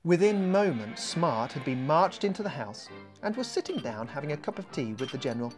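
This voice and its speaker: A man reading a children's mystery story expressively, as its author, with deliberate dramatic pauses. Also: a little high, sing-song, soft background music.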